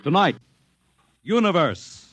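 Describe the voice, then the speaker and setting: A man's voice: high voice, sing-song, slow. A man introducing a 1950s radio drama in a dramatic, declamatory announcing style. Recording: 1950s radio broadcast with faint music.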